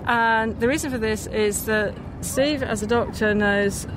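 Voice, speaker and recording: A woman's voice: on the high side, a little slow. A woman being interviewed as a speaker, talking casually and thoughtfully in a park, with some hesitant phrasing. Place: outdoors in a park. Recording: outdoor recording, clear traffic noise.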